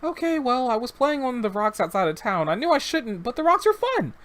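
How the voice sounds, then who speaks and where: A man's voice: very high voice, big pitch swings, fairly quick, a bit bright, voice pushed up, full character voice. A man voicing an earnest young boy who talks eagerly and rambles a bit about whales and a new friend.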